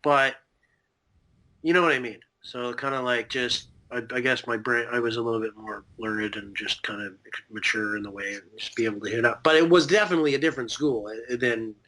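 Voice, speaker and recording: A man's voice: medium pitch, fairly even intonation, fairly quick, bright, forceful tone, poor audio. A man in his thirties, a podcast guest, rambling in casual chat with lots of fillers and casual swearing. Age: 30s.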